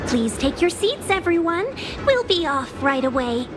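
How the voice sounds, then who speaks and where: High-pitched, graceful young envoy. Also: female voice, big pitch swings, moderate pace. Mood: cheerful, formal. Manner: courteous, polished, coquettish. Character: graceful young female envoy and guide, polite, coaxing, playfully vain. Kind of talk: English video game voice acting. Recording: studio voice over background music.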